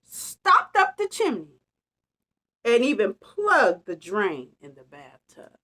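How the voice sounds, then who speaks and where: A woman reading a picture book aloud as a storytime host, expressive and chatty with casual asides. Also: a little high, slow. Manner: animated, chatty, savouring phrases. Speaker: storytime reader.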